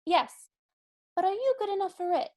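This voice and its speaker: A woman's voice: very high voice, lively intonation, moderate pace, a little mellow. A woman playing a prim, innocent and frank young society girl in a dramatic reading of a stage comedy. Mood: primly teasing.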